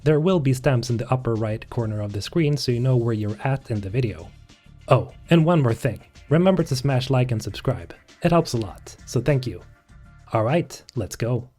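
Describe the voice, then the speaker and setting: Dark-toned male video host. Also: mid-range voice, lively intonation, moderate pace. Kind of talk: casual scripted narration. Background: background music.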